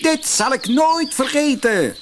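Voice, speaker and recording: A man's voice: extremely high pitch, lively intonation, takes it slowly, heavy background noise, voice pushed up, full character voice. A man voicing a grateful rooster with a crowing voice in a fairy tale audiobook.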